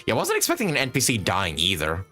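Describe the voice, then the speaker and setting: A man's voice: fairly low pitch, wildly swooping pitch, fast, gravelly, sharp tone. A man streaming a game, thinking aloud in casual commentary and reading on-screen text. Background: game music.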